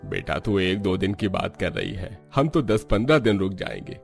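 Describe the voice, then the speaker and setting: An easygoing older father in a family cartoon, played by a man, speaks in a low voice. He sounds reassuring and unhurried with his daughter. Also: lively intonation, creaky, faint music behind. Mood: cheerful reassurance.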